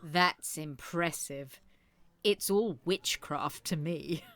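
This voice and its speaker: An actress playing a suspicious, outspoken elderly care-home resident in an audio comedy, with an emphatic, sceptical delivery. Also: female voice, low-pitched, takes it slowly, no room sound. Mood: impressed. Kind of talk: audio comedy drama acting.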